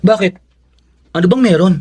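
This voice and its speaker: Casting: eager student son in radio drama; fairly high voice, polite, earnest. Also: male voice, normal speed, darkish tone, husky. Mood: excited, eager. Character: grateful, eager, studious young son and brother. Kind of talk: Filipino radio drama acting.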